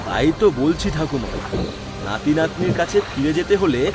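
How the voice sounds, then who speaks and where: A concerned village man in a cartoon folk tale. His fairly high voice is animated, and loud ambient noise runs behind it. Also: normal speed. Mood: concerned, persuasive. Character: concerned village man advising an old woman.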